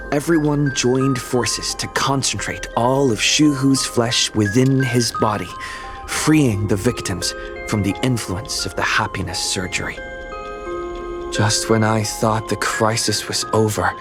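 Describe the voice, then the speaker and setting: A man playing a young hero who soberly recounts his adventure in a measured, scripted game-story narration. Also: fairly low pitch, close to an everyday voice. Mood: grave.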